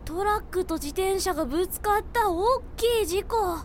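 A woman playing a helpful, gentle local passerby in an amateur voice drama, informal and a little hesitant. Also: extremely high pitch, moderate pace, slight background noise. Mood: helpful, apologetic. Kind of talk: Japanese amateur voice drama acting.

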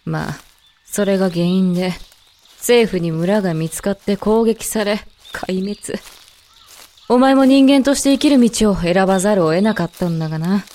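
An actress as a proud werewolf woman in a voice drama. She speaks bluntly and with self-assurance, in brusque masculine wording. Her voice sits at a middle pitch for a woman. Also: normal speed, warm tone, lightly acted. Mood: matter-of-fact, stern. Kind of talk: Japanese amateur voice drama acting.

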